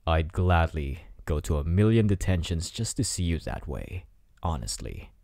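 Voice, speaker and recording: A man acts out a teenage bad boy in an intimate ASMR roleplay. His voice is low and swings widely in pitch as he taunts and teases with smug confidence. The sound is close and dry. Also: big pitch swings, creaky, warm tone, no room sound, heavily acted. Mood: smug, teasing. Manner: taunting, smug, drawn-out teasing delivery. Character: cocky teasing teenage delinquent bad boy student.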